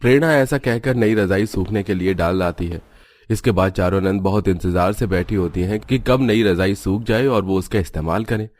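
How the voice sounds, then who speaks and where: A man's voice: sits low, moderate pace, darkish tone. A man giving scripted narration for a cartoon story in Hindi.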